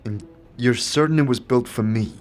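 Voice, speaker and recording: A man's voice: medium pitch, normal speed, soft background music, natural voice. A man playing a puzzled, world-weary wanderer in a fantasy audio drama, hesitant and questioning in his delivery. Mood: wary, questioning.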